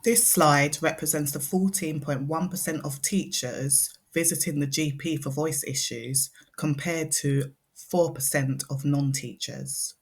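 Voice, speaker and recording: A woman narrates a prepared presentation as a speech and language therapist. Her voice sits low, and she takes her time. Her delivery is measured and explanatory, set out point by point. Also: fairly even intonation.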